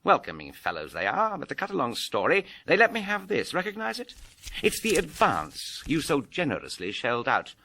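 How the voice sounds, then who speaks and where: A man acts out a hard-hitting pulp radio adventurer, cocksure and wisecracking. His voice is sharp and forceful, fairly high, and it leaps about dramatically. There is a slight noise on the recording. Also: wildly swooping pitch, normal speed, gravelly, heavily acted. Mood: cocksure, taunting. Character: fast-talking, hard-hitting male adventurer detective, cheerfully tough. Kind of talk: tongue-in-cheek pulp detective radio drama.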